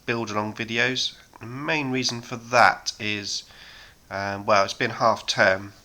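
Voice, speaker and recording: A man in his thirties hosting a miniature painting chat, talking casually with many ums and a slightly hoarse voice from a sore throat. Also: fairly low pitch, slow pace. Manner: relaxed, rambling, many ums. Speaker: hobby painting channel host.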